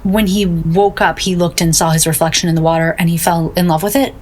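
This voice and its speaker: An actress playing a gloomy, bewildered painter in a comic audio drama, hesitant and naively deadpan. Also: female voice, on the low side, fairly quick, lightly acted. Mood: dreamy. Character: gloomy, bewildered, self-doubting woman painter.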